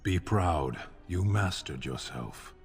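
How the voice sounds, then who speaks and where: Casting: solemn fantasy game companion, extremely low, very husky, reassuring. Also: male voice, animated, slow, soft background music, heavily acted. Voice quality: deep, husky. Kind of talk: fantasy video game voice acting. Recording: long echoing reverb.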